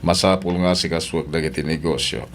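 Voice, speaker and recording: A man's voice: extremely low pitch, fairly even intonation, fairly quick, a little hiss or hum. A man with an Ilocano Filipino accent acting a volatile husband in an audio drama. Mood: indulgent, placating. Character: husband, doting on the surface, violent-tempered businessman.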